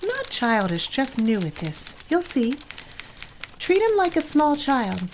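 A woman's voice: high voice, huge pitch swings, slow pace, a little hiss or hum, heavily acted. A woman playing a pragmatic, worldly office colleague in an audio drama, giving matter-of-fact advice. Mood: advising.

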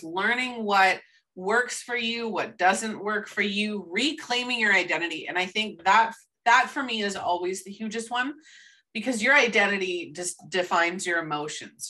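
Canadian woman co-host, eager and chatty, keeps restarting her thoughts, bright tone, sits a little off the mic. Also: mid-range voice, recorded from a distance. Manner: enthusiastic, rambling, frequent restarts. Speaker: podcast co-host. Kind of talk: casual podcast chat.

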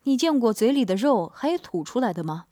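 A woman's voice: fairly high pitch, sing-song, a little fast, mellow, no room sound, clean studio sound. A woman voicing a grown man who gloats and taunts in a mock villain role, in a storybook reading. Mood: leering. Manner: gloating, menacing teasing. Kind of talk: audiobook character voice.

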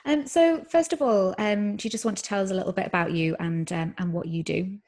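A woman's voice: mid-range voice, fairly quick, darkish tone. A woman hosting a podcast interview, friendly and hesitant, using many fillers as she asks her questions. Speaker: podcast host.

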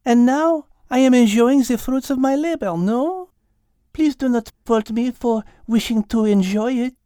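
A man's voice: extremely high pitch, unhurried, dark tone, clear, resonant tone, no echo, studio quality, pitched above the performer's own voice. A man voicing a petite, intellectual female cat with a French accent, precise and composed, in an animal storybook. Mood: poised, refined. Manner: precise, refined, sprinkles in French words.

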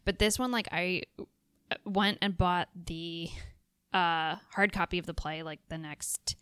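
A woman with a pretty nasal voice talks in a loose, chatty way, filling her sentences with fillers. Her tone is smooth and clear, sitting a little low for a woman, and the sound is dry and close. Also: steady tone, unhurried, pushed and bright, no room sound. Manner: chatty, many filler likes and ums. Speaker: guest sharing favorite books. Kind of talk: casual chat.

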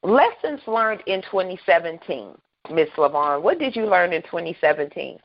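Loud, booming woman host. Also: moderate pace. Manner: animated, talks over herself with restarts. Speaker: radio show host. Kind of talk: casual faith-based radio chat. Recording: dull lo-fi online radio audio.

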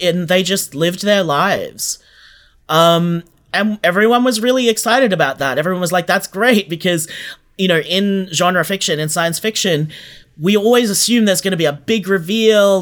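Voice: mid-range voice, a bit bright. An Australian speaker in a casual interview, thinking aloud in a conversational way. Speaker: interviewed fiction podcast creator.

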